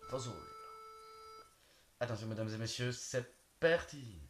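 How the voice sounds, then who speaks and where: A man's voice: mid-range voice, unhurried. A young man bantering casually in slangy, teasing, sweary speech while hosting prank phone calls. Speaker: prank call host. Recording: echoey room with clicks and noise.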